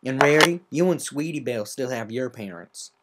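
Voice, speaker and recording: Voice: mid-range voice, lively intonation, a little fast, a bit bright. A performer voicing a plain-spoken, sympathetic female cartoon farm pony with a folksy manner.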